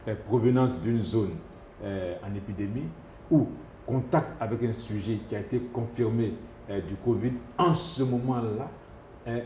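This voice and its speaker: A man's voice: mid-range voice, warm tone, slight background noise. A man, a doctor answering interview questions, hesitant, with many fillers and restarts. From Côte d'Ivoire. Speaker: epidemiologist doctor. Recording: echoey room with faint ambience.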